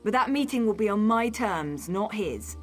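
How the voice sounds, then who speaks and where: A woman's voice: mid-range voice, expressive, normal speed, close to an everyday voice. An actress playing a headstrong, sarcastic young noblewoman on the run in a video game, defiant and wry. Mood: determined. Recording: game music behind the voice.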